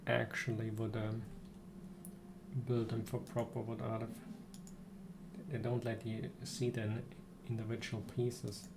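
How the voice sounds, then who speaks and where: A man's voice: lowish voice, monotone, measured pace, long pauses. A man giving casual live gameplay commentary as a streamer, musing half to himself. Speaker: game streamer. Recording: roomy echo, clear background noise with keyboard clicks.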